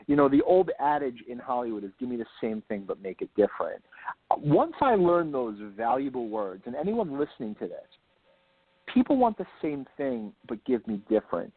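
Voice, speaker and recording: A man speaking as an author guest in a casual interview, enthusiastic and rambling, repeating words for emphasis, with a slightly husky voice. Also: medium pitch. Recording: lo-fi phone-like line, roomy echo.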